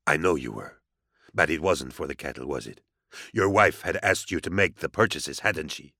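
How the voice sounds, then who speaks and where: A man voicing an amiable but menacing interrogator in an audiobook, measured, courtly and sardonic, with theatrical mockery. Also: very deep voice, gravelly, pushed and bright, dry recording, clean studio sound, full character voice. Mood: cool, accusing. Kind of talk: audiobook character acting.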